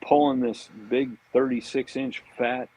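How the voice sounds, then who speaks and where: A man with a husky voice, a podcast guest chatting casually in an easygoing, affable buddy-to-buddy way. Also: mid-range voice, little pitch movement, unhurried, dark tone, poor audio. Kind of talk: casual chat.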